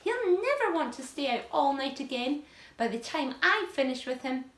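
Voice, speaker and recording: A woman's voice: sits high, sing-song, normal speed, echoey room, voice pushed up. A woman voicing a spiteful, bullying aunt from a children's storybook in an exclamatory, threatening manner. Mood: vengeful.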